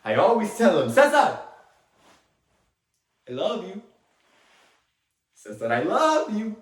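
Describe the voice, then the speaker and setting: A chatty immigrant dad with a Latin American Spanish accent in an echoey room. His slightly high voice swoops expressively as he rambles and halts through fond stage-monologue reminiscence. He is tender and talkative, a native Spanish speaker. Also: exaggerated voice. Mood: fond, proud. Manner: animated, rambling, halting, with small chuckles. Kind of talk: dramatic monologue, stage acting.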